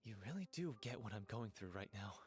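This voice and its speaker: An actor playing an earnest, lovelorn young man of a theatre troupe in a video game, heartfelt and measured with weighty pauses. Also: fairly low pitch, animated, close to an everyday voice. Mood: determined. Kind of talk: dramatic video game voice acting.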